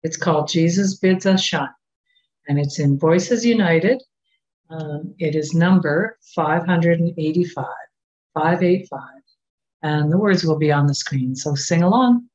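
A Canadian woman in her sixties giving a children's lesson at a church service over a webcam from a small home office, talking gently and asking coaxing questions. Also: low-pitched, unhurried. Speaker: children's time leader. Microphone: webcam on a video call. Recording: dull, muffled webcam sound.

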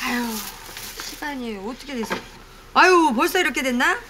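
A woman's voice: sits high, animated, normal speed, background noise. An actress in her fifties playing a thrifty, fussy mother who chatters away in a sitcom. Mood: fussing. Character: thrifty, chatty, fussing middle-aged mother. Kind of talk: sitcom acting.

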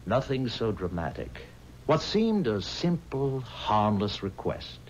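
An actor playing a haunted, pleading musician bound to the devil, speaking earnestly and formally in dramatic 1970s radio style. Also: male voice, fairly low pitch, sing-song, takes it slowly. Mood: haunted, regretful. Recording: dull, hissy 1970s radio recording.

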